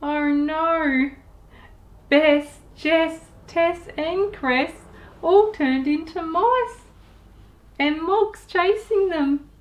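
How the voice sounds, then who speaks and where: A woman in her thirties reading a picture book aloud to young children, expressive and deliberate, as a storytime host. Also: very high voice. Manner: expressive, deliberate, with sound effects and asides to listeners.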